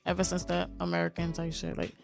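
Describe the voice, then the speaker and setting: Gravelly young Haitian-born woman hosting. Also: fairly low pitch, fast, soft background music. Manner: slangy, relaxed, laughs often. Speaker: podcast host. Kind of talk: casual chat.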